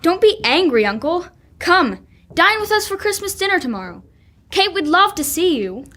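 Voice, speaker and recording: A cheerful, good-hearted young nephew in a radio play, acted by a young woman. The voice is high and swings in lively, earnest appeals. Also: normal speed, exaggerated voice. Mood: pleading. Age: teenager or young adult. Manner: earnest, pleading. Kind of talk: live old-time radio drama reading.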